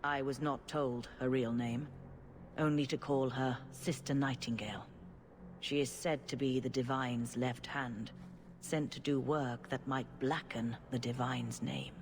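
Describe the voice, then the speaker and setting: A woman playing a solemn, cautious senior churchwoman in a fantasy video game, with formal, measured delivery. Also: very deep voice, steady tone, slight background noise.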